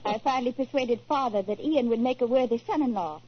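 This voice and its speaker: High, husky young fiancée. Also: female voice, fast. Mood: bright, wistful. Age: young adult. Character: polite young fiancée, romantic and spirited. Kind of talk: 1940s radio acting. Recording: dull lo-fi old radio recording.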